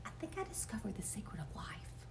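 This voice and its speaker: A woman's voice: medium pitch, huge pitch swings, fairly quick, whispered. A woman voicing a mischievous young boy with big schemes in an emphatic, theatrical storybook manner. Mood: secretive.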